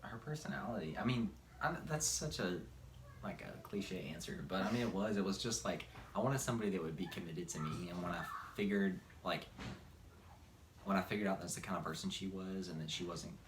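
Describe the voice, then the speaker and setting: A man in his twenties with a very low voice talks informally and sincerely in a question-and-answer chat. The room is echoey, with faint noise around his voice. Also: fairly quick. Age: 20s. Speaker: husband answering viewer questions with his wife. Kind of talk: casual couple Q&A chat.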